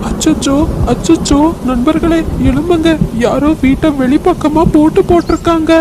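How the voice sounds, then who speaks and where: Panicky bird, acted by a woman, cartoon voice, high pitched, wailing, exclaiming, very noisy track. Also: fairly even intonation, moderate pace, close to an everyday voice. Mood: panicked. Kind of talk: Tamil cartoon story voice acting. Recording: noisy track with outdoor ambience.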